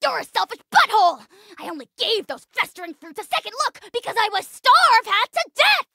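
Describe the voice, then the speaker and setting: A woman voicing a pompous, spiteful little dragon lord in a video game, with a shrill, creaky, cartoonish delivery and theatrical haughtiness. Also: very animated, brisk, pushed and bright, no echo, clean studio sound, exaggerated voice. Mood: furious, indignant. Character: tiny arrogant dragon lord, bombastic, spiteful, easily enraged. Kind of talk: video game character voice acting.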